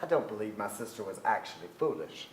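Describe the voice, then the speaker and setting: A man's voice: fairly low pitch, big pitch swings, quick pace, over loud music. An actor playing a brooding, restless son who recalls his family in a theatrical staged reading. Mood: wistful, remembering. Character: brooding, restless adult son, narrator of memories. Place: theatre stage before an audience. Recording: echoey theatre stage, some noise.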